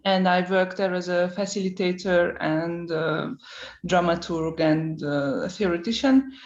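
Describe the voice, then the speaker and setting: A woman introducing herself as a panelist on a video call, brisk and fluent. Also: fairly low pitch, fairly even intonation, a little mellow. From Romania. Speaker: panelist, researcher. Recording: echoey dull call audio.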